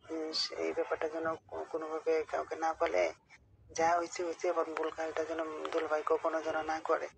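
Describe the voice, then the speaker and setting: A gravelly, fry-edged woman with a Bangladeshi accent confides in a friend in a casual phone chat. Her delivery is flat and rambling, with frequent little checks that the listener is keeping up. The call recording has faint noise behind it. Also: low-pitched, brisk. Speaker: caller telling a friend a personal story.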